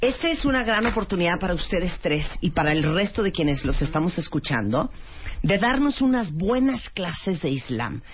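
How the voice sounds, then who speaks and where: A woman's voice: lowish voice, sing-song, moderate pace, slight background noise. A woman hosting a radio interview, chatty and playful. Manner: chatty, playful, lots of backchannel. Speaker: radio host. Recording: dull lo-fi radio sound.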